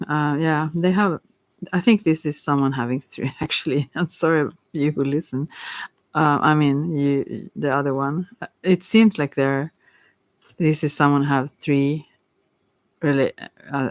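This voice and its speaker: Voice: medium pitch, dry recording. Rambling, hesitant tarot reader, dry close sound. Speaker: tarot reader on a live stream. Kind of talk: casual improvised tarot reading chat.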